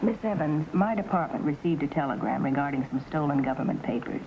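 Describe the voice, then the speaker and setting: A woman's voice: low voice, expressive, fast, lo-fi sound. A woman acting a cool, businesslike female agent with a formal manner in an old-time western drama. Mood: composed, polite. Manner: polite, composed, formal. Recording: noisy old western soundtrack.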